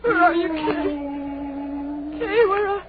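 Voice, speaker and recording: A woman's voice: sits high, slow, faint music behind. An actress playing a grief-mad young woman lost in a storm, with theatrical, wailing, repetitive delivery in old-time radio horror style. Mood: anguished searching. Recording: tinny, noisy vintage radio recording.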